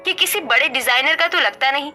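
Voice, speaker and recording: A woman's voice: sits high, brisk, bright, forceful tone, soft background music. A woman playing a haughty, disdainful rich young sister-in-law in a family story. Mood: scornful. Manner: disdainful, boastful. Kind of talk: Hindi moral family story dialogue.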